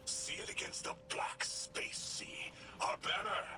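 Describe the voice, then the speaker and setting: A man voicing a menacing, zealous alien Archon in a video game, with a hissing, breathy whisper and slow, grandiose, incantatory delivery. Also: lightly acted. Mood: bitter, fervent. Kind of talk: scripted video game acting. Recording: loud music over the voice.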